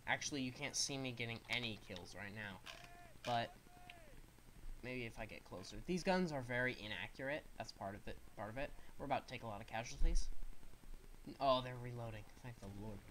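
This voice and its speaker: A congested young man talks through his gameplay in a loose, wandering way, explaining each command as he gives it. His voice sits at an ordinary middle pitch, and a faint hiss of background noise runs under the recording. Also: fairly quick, fairly bright tone, poor audio. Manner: rambling, explains controls as he plays. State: stuffed-up nose. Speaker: gaming streamer. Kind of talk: casual gameplay commentary.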